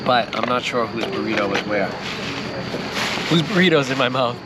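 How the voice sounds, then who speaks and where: A man's voice: mid-range voice, lively intonation, moderate pace. New guy vlogging, super noisy background, jokey slangy food talk. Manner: playful, exclamatory, slangy. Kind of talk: casual chat while eating on camera.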